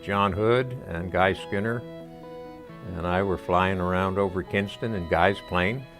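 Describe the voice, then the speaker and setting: A man in his eighties telling a story in a relaxed documentary interview. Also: deep voice, unhurried, music in the background. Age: 80s. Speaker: veteran telling the group's story.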